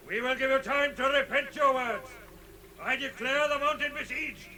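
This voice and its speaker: Heroic bowman leader: very high, piercing, righteous, formal declamation, vintage radio drama. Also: moderate pace, pushed and bright, full character voice. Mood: stern. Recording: noisy vintage radio recording.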